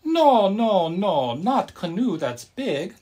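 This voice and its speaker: A man's voice: high voice, sing-song, slow pace, warm tone, pitched above the performer's own voice, heavily acted. A man voicing an exasperated but loving Hawaiian grandmother in a children's story, with emphatic exclamations. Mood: pleading, exasperated.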